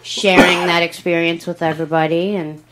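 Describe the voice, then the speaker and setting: A woman's voice: lowish voice, level tone, normal speed, a bit bright, poor audio. A woman taking part in a podcast, chatting casually, with some background noise. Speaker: podcast participant. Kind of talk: casual chat.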